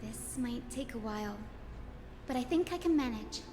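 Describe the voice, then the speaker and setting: A woman playing a gentle, careful young companion in a video game, speaking in a hesitant, explanatory way. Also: fairly high pitch, lively intonation, moderate pace, close to an everyday voice. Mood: thoughtful, hesitant. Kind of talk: scripted video game dialogue. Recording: game music behind the voice.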